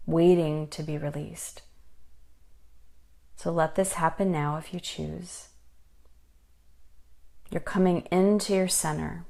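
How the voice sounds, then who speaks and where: Woman doing guided meditation, low voice, soothing and gentle, takes her time w pauses. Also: gravelly. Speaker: meditation guide and life coach.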